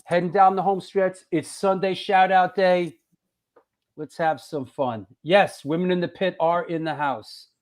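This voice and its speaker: A man's voice: sits high, fairly even intonation, measured pace. A man with a Manhattan accent hosting a live-stream show, upbeat as he reads out viewer questions. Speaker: host.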